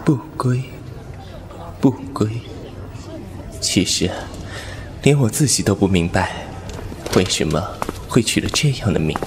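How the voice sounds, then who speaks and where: A man's voice: lowish voice, animated, a little slow, long pauses. A man playing a fox spirit painter who narrates his story in a languid, teasing manner, with music behind him. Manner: languid, wry and teasing, laughs softly. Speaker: narrator and lead. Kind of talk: Chinese audio drama acting. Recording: over background music.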